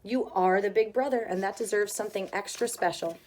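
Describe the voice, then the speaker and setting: Woman as a gentle, soothing mother raccoon. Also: medium pitch, a little fast, a little hiss or hum, lightly acted, rough recording. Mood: gentle, explaining. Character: tender, reassuring mother raccoon.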